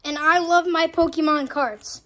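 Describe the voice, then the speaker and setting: Female performer as a high, showy, excitable animatronic. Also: unhurried, a little hiss or hum. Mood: boastful, excited.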